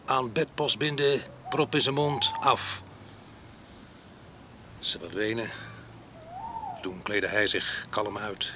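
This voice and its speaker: An actor playing a rough, jealous ex-convict husband in a radio drama, coarse, domineering and mocking, with a gravelly voice. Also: male voice, on the low side, noisy background.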